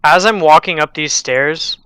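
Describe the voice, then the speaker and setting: A man playing a tabletop role-playing game, chatting casually with plenty of swearing, insistent and excitable. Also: a little high, measured pace, clean tone, dry recording. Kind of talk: casual, profane game chat.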